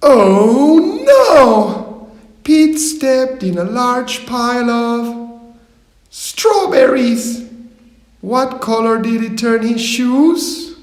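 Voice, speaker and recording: A woman narrates a children's story in a very slow, emphatic, theatrical way. Her pitch moves up and down a lot with each phrase. The sound is reverberant, like a hall. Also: lively intonation. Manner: theatrical, drawn-out, emphatic delivery. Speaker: storyteller reading a children's picture book. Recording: echoey hall reverb, music underneath. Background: music.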